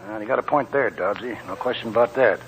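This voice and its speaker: An actor playing a shrewd, folksy old American gold prospector in a 1940s radio drama. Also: male voice, on the low side, fast talker, a bit bright, slight background noise, low-quality recording. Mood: grave, pondering. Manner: folksy, chuckling.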